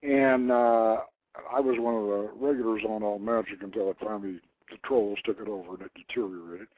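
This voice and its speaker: An older man hosting a radio show, reading aloud and commenting informally in a deliberate storyteller's manner. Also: fairly low pitch, steady tone, dry recording. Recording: narrow phone-like line, dry.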